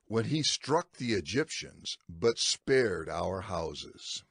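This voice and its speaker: A man playing an earnest male prophet instructing his people in a dramatized scripture recording, with a husky voice. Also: low-pitched, sing-song, slow, fairly bright tone, no echo, clean studio sound, full character voice. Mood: earnest, urgent instruction.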